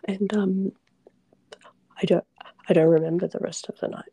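A shaken woman speaks in a hushed, very breathy whisper with a gravelly edge. She halts and restarts often, and the sound is close and dry. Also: lowish voice, steady tone, moderate pace, no echo. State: shaken and trembling. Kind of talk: whispered confessional conversation.

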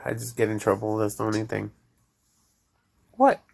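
Mexican-accented male host, casual rambler, low gravelly voice, chats straight to his listeners. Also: level tone, unhurried, darkish tone. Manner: rambling, addresses listeners directly. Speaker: podcast host. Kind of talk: casual chat.

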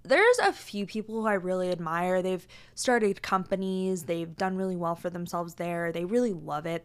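A woman's voice: mid-range voice, gravelly. A woman in her twenties from Washington State, hosting a solo podcast in a frank, chatty way. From Washington State, USA. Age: 20s. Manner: frank, chatty, heavy use of "like". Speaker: podcast host. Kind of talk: casual solo podcast chat.

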